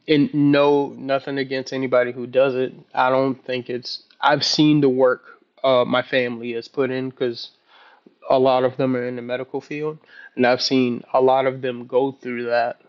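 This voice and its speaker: Man with flat pitch, halting delivery. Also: smooth, dull audio. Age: early 30s. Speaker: vlogger. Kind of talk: casual, personal video journal.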